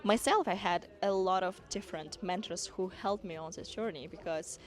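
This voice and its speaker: A woman's voice: lowish voice, moderate pace. A woman from Kazakhstan, a dance school owner and women's coach, giving an earnest, encouraging motivational talk to camera, with small non-native grammar slips. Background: background music.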